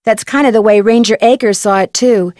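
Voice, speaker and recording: An actress playing a calm, polite young woman detective in a video game, with a composed, reassuring manner. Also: mid-range voice, brisk, no echo, clean studio sound, lightly acted. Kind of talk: scripted game dialogue.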